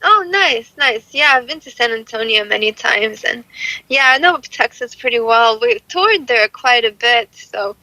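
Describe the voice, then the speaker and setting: A woman's voice: on the high side, animated, unhurried, pushed and bright, no room sound, rough recording. A woman from Russia, a singer, chatting in a friendly, easy way in an interview and laughing often. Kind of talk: casual interview chat.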